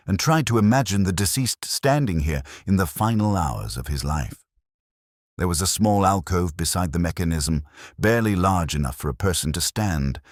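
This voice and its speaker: A man performs an ageing police inspector narrating his own detective story. The voice is extremely low, with plenty of rise and fall, recorded close and dry. He is pensive and observant, measured and literary in his telling. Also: no echo. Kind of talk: scripted first-person detective story narration.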